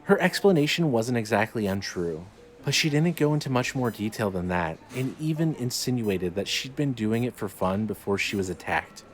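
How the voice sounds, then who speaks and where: A man's voice: on the low side, expressive, normal speed. A man narrating scripted fiction in a dramatic storytelling style. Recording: light noise, ambience behind.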